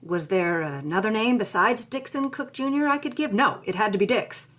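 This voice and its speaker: A woman's voice: medium pitch, animated, normal speed, a bit bright. A woman playing a sardonic, troubled 1940s Hollywood writer, reading her journal with theatrical expression. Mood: bitter, distressed. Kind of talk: dramatic first-person reading of journal.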